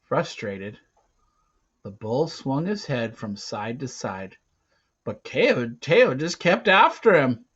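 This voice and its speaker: A man reads an adventure story aloud at a slow, deliberate pace. His delivery is theatrical and emphatic, moving between hushed phrases and louder ones. His voice sits at an average male pitch, and the recording is dull and lo-fi. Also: husky. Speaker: reader of a children's adventure novel. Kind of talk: dramatic read-aloud narration.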